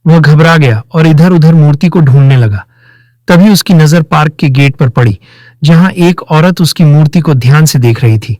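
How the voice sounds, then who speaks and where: A man reads a scripted children's moral tale as narrator. His voice sits a little high for a man, and the recording is dry, with no room sound. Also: moderate pace, a little mellow, no echo.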